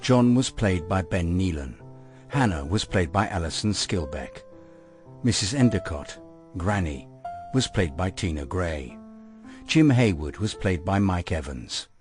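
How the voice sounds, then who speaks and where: A man's voice: low-pitched, animated, unhurried. A man reading scripted narration and credits for a radio drama. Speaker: narrator of prologue and epilogue. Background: music.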